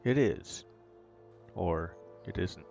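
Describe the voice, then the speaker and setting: Game companion: wry, blunt, deadpan, very unhurried, fry in the voice. Slightly muffled sound. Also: male voice, low voice, music in the background, exaggerated voice. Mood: teasing. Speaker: voice actor in a video game. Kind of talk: dramatic video game dialogue.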